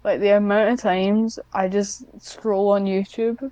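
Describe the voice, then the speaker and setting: A woman's voice: medium pitch, little pitch movement, measured pace, warm tone, smooth, no echo. A woman chatting casually as a podcast guest, talking in a hesitant, self-deprecating way. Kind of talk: casual one-on-one interview chat.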